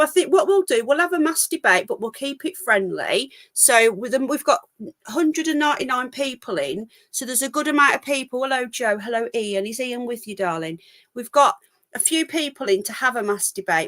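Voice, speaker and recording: A woman's voice: fairly high pitch, animated, normal speed, fairly bright tone. A woman hosting a live call-in stream from home, chatting frankly and rambling, a bit full of cold, on a laptop mic. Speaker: livestream call-in show host. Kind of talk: casual live stream chat.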